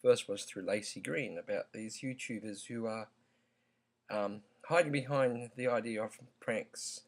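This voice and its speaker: A croaky-voiced man talks straight to his viewers at an unhurried, deliberate pace. He pauses often and fills the gaps with ums. His tone stays earnest throughout. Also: on the low side. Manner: slow, halting, earnest, with many ums. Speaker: vlogger. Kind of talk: opinion vlog.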